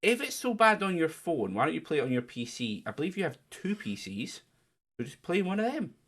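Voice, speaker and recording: A man's voice: a little fast, slightly rough. A man hosting a livestreamed quiz in a chatty, teasing way. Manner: teasing, chatty, lively jumps in pitch. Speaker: quiz host. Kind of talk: casual livestream chat.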